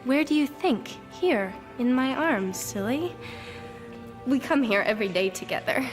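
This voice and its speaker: Audio drama: sweetly unhinged young handmaid, high voice, cooing and dreamy. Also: female voice, expressive, unhurried, faint music behind. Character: deluded grieving young handmaid, sing-song sweet, cradling imaginary baby.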